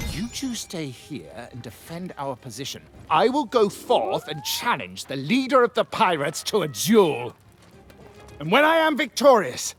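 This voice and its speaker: Pompous gallant knight, wildly swooping pitch. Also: male voice, a bit bright, exaggerated voice. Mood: bold, resolute. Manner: grandiose, formal, theatrical. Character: gallant, pompous knight commander, courteous and honor-bound. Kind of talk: video game character acting.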